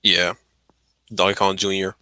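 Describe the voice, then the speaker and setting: A man's voice: deep voice, little pitch movement, measured pace, sharp tone, no echo. A man chatting casually as a co-host on an online stream call. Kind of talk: casual chat.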